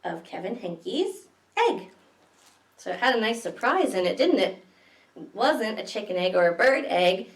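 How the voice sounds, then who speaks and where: A woman's voice: medium pitch, big pitch swings, unhurried. A woman reading picture books aloud to young children as a library story time host, expressively, with questions to her listeners. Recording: echoey room, distant microphone.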